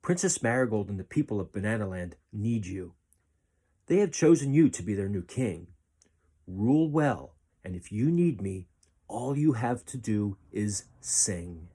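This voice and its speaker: A gentle, wise storybook dolphin voiced by a man, mellow and slightly husky. He speaks with solemn, encouraging reassurance, like a magical rescuer. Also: lowish voice, big pitch swings, a little slow, full character voice. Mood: warm, solemn. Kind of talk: storybook character reading.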